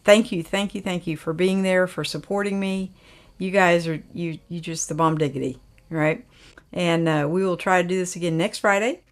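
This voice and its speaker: A woman's voice: fairly low pitch, fairly even intonation, normal speed. An older woman, hosting a livestream and chatting casually in a folksy, rambling way as she reads out viewer comments. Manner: folksy, rambling, with frequent fillers. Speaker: livestream host.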